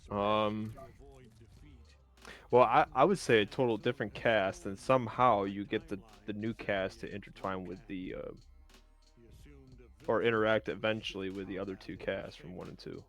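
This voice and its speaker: A man's voice: on the low side, steady tone, moderate pace, fairly bright tone, faint music behind. A man chatting casually as a stream panelist, hesitant as he searches for his words. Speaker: round table panelist. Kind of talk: casual chat about video games.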